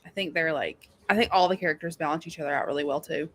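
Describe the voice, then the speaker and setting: A woman's voice: deep voice, fast, a bit bright. A woman co-hosting a live readalong show, chatting about books in an informal, slangy, emphatic way. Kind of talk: casual book chat.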